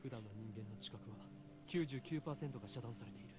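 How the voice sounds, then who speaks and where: A man's voice: mid-range voice, racing, close to an everyday voice. A man playing a grave, intense young narrator brooding over fate in a theatrical Japanese visual novel monologue. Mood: regretful, urgent. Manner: theatrical, portentous. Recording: game audio with music over it. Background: prominent game music.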